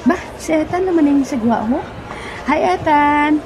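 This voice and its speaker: Woman playing a giggly young girlfriend, chatty, high voice, hissy noisy recording. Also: unhurried. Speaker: character in an audio drama. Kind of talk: amateur audio drama acting.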